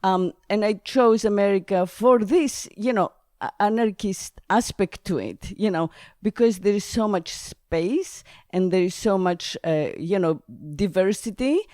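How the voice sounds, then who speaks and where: A woman's voice: medium pitch, a little slow, no room sound, clean studio sound. Laughing woman host. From Greece. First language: Greek. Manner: laughs often, chatty and expressive. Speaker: podcast host. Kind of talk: casual conversation.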